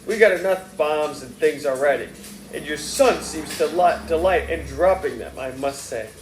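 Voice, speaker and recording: A man's voice: fairly high pitch, expressive, moderate pace, reverberant, slight background noise, natural voice, poor audio. A man reading aloud and voicing a chatty, doting middle-aged Southern mother in a gushing, folksy way. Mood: doting chatter.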